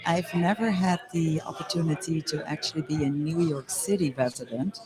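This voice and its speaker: A woman's voice: low-pitched, normal speed, music in the background, poor audio. A woman in her fifties from the Netherlands, chatting in an interview and saying "you know" often. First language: Dutch. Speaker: guest. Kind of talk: casual interview chat. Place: club bar during a social evening. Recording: loud crowd chatter behind.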